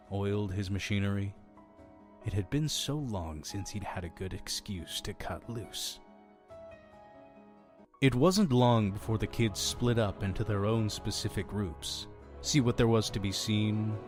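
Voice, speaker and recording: A man playing a fussy, sardonic innkeeper who tells dark horror tales in a theatrical, deliberate way for an audio drama. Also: on the low side. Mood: wry, ominous. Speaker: storytelling host of a horror anthology.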